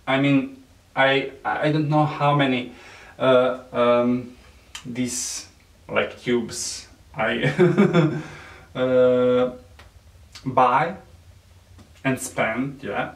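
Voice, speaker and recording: A man with a Serbian accent, whose first language is Serbian, gives a makeup tutorial in halting English with many fillers and soft nervous laughs. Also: mid-range voice. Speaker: beauty vlogger. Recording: echoey room, mic at a distance.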